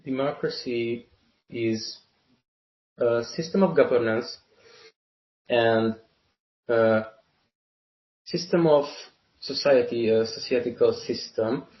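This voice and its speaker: A man in his early twenties with a Hungarian accent answers interview questions at a slow, careful pace. He halts and repeats phrases as he explains. The sound is muffled and echoey. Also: medium pitch. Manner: deliberate, halting, repeats phrases for emphasis. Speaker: interview guest, university student. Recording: roomy, muffled lo-fi call-like audio.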